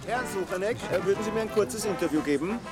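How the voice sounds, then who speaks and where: An actor playing an eager, self-important small-town reporter with an Austrian accent in a radio drama, gushing and pompous. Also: male voice, high-pitched, fast, over loud music, lightly acted. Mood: eager, fawning. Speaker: amateur local video reporter.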